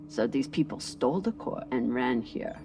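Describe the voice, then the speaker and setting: A woman's voice: a bass voice, lively intonation, moderate pace, distant mic, noisy background. An actress playing a tough female ship captain in a video game, speaking in a terse, blunt way. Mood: grim.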